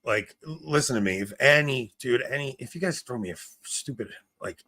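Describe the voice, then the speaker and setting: A man in his forties from New with a smoky, gravelly voice. He chats casually as a podcast co-host, telling animated stories, laughing often and breaking off mid-sentence to start again. His voice sits at a middling pitch. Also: brisk. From New York. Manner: animated storyteller, laughs often, trails off and restarts. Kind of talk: casual chat.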